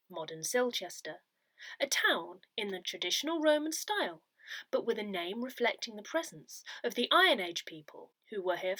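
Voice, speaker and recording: A woman reading newsletter articles aloud in a measured, expressive way, with clear phrasing. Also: mid-range voice, no room sound. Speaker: reader of an audio parish newsletter. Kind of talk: scripted narration, read-aloud articles.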